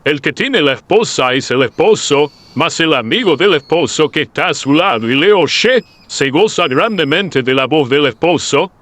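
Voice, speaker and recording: A man's voice: on the high side, fairly bright tone, no room sound. A man playing an earnest, humble prophet in a dramatized scripture audiobook, speaking in a solemn, declamatory way. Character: John the Baptist, earnest humble male prophet.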